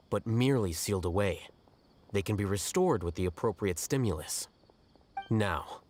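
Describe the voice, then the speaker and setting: An actor playing an aloof, coolly logical scholar in a video game, speaking in a measured, matter-of-fact and condescending way. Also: male voice, fairly low pitch, a little hiss or hum, close to an everyday voice. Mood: calm, analytical. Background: game music.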